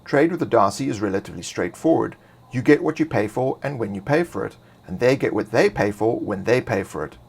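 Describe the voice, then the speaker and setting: Low, husky male lore narrator. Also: lively intonation, a little fast, darkish tone. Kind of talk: scripted narration of fantasy game lore.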